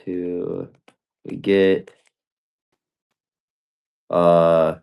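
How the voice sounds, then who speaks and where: Male, fairly low voice, very unhurried, casual and halting as he works out wording aloud. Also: level tone, vocal fry, clean tone, dull audio. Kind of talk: casual chat, dictating a story prompt.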